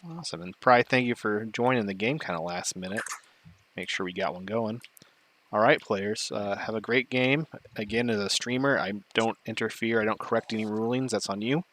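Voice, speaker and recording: A man's voice: on the low side, moderate pace. A man hosting a board game tournament stream, introducing players and chatting casually with his viewers. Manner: relaxed, chatty, loose asides to chat.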